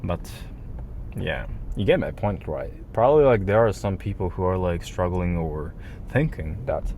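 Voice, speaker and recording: A man's voice: sits low, lively intonation, moderate pace, a little mellow, slight background noise. A man whose first language is Japanese rambles casually to his vlog audience in slangy, non-native English. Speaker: vlogger.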